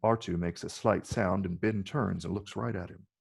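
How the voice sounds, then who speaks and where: Deep, gravelly male narrator. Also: fairly even intonation, normal speed, darkish tone. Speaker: narrator reading stage directions. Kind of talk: scripted narration.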